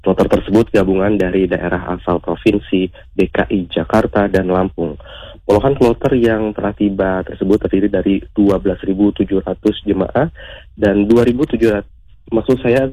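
An Indonesian male radio reporter with a very deep, dark voice. He delivers a live news report in a formal, measured way, sounding husky and close in a dry space. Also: no room sound, rough recording.

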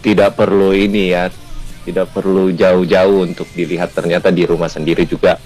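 A man's voice: low-pitched, flat delivery, moderate pace, darkish tone, faint music behind, poor audio. A man in a film cast giving an earnest, reflective message during a radio interview. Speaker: film actor, guest.

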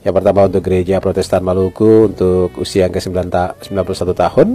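A male radio host speaks in a low, bright voice with an Ambonese Malay accent. He is jovial and chatty as he takes calls and reads listener messages, with music playing behind him. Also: level tone, rapid-fire. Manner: jovial, often chuckling. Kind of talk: late-night radio call-in chat, reading listener messages. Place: radio studio. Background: music in the background.

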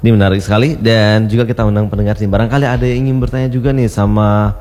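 A man's voice: lowish voice, steady tone, racing, a little mellow. A man hosting a casual radio talk show, agreeable and affirming as he interviews. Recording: reverberant hall-like room.

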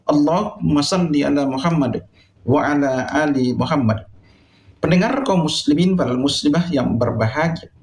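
Echoey, higher-voiced male preacher. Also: a little mellow. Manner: solemn, exhorting, deliberate with emphatic phrasing. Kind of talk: sermon addressed to listeners. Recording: echoey, reverberant sound.

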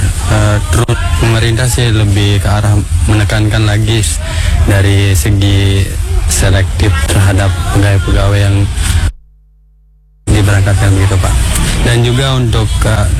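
A man with a lowish, very flat voice speaks slowly and haltingly. Loud music sits under him. It sounds like a vox pop clip in a news report. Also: rough recording.

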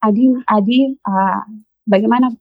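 A woman with a Kupang accent speaks slowly as a radio guest, explaining her mentoring work with many pauses and hesitations. The sound is dull and lo-fi and dry, from a radio studio. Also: medium pitch, dry recording. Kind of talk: radio talk show guest.

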